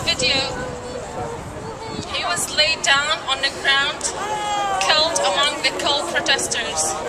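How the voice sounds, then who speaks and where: A woman from Syria, giving a halting personal testimony at a street rally, pausing often and searching for words. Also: high voice. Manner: halting, hesitant, many pauses and fillers. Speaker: rally speaker. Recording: distant voice with loud crowd and street noise.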